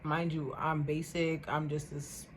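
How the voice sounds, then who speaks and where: Loud, indignant young woman ranting. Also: deep voice, a little slow, heavy background noise. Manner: animated, indignant, repeats words for emphasis. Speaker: vlogger. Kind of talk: casual rant to camera.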